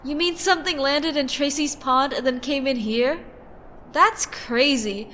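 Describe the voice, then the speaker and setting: A woman's voice: sits high, measured pace, a bit bright, slight background noise. A woman playing a skeptical, dismissive diner counter worker in an amateur radio play. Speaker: student radio play actor.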